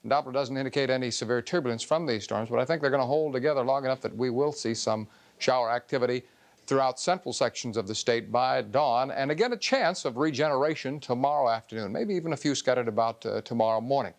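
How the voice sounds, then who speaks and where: A man's voice: mid-range voice, fast. An American man giving an ad-libbed television weather forecast in a conversational, explanatory way.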